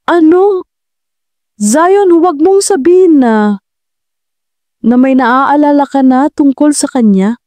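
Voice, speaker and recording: Woman playing jealous rival woman, possessive, accusing, emphatic, mellow timbre, audio love drama. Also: high voice, slow, long pauses, dry recording, voice pushed up. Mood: alarmed.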